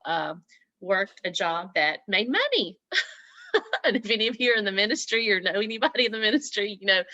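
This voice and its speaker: A woman's voice: medium pitch, sharp tone, dry recording. An older woman with a Southern US accent, a guest speaker chatting on a video call, laughing often. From Southern US (Mississippi, Alabama). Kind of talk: casual talk on a video call. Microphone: laptop on a video call.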